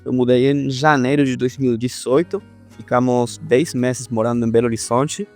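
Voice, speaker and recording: A man in his thirties from Argentina, a podcast guest speaking Portuguese with an Argentine Spanish accent, telling his story in a relaxed, rambling way. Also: mid-range voice, normal speed, faint music behind. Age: 30s. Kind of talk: casual interview talk.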